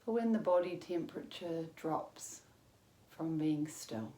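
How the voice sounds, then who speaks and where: Slow, low-voiced woman guiding meditation. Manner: calm, measured, soothing, with long pauses. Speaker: yoga teacher. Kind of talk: guided meditation, yoga nidra instruction. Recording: roomy echo with faint background noise.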